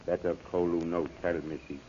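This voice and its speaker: An actor playing a loyal, cautious native guide who speaks clipped pidgin English in a 1930s radio serial. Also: male voice, deep voice, level tone, fairly quick, mellow, natural voice. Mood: loyal caution. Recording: dull, noisy old radio recording.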